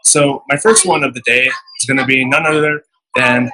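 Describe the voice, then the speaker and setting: A man's voice: mid-range voice, fairly even intonation, normal speed, bright, forceful tone, low-quality recording. A man hosting an interview, asking chatty, upbeat questions. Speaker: interviewer, channel host. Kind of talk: casual interview. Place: casino resort event.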